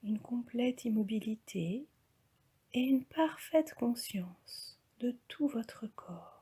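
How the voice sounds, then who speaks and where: Slow, breathy woman guiding relaxation. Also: on the high side. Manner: calm, soft, breathy, often near-whispered delivery. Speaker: yoga nidra instructor. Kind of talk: guided relaxation meditation.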